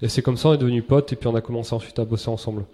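Mellow-voiced man chatting casually. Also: medium pitch, racing. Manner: relaxed, chatty, lots of restarts and slang. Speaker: interview guest, entrepreneur. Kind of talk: casual podcast interview.

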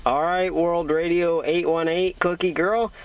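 American male radio hobbyist, slightly high voice, folksy halting chat with call signs, recorded at some distance. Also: fairly even intonation, lo-fi sound, noisy background. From Iowa, United States. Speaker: amateur CB radio operator.

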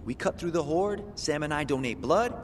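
A man's voice: a little high, expressive, moderate pace, slight background noise, natural voice. An actor playing a brash, streetwise young male survivor of a zombie outbreak in a video game, with slangy, flippant delivery. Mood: wry, urgent. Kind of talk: video game cutscene acting.

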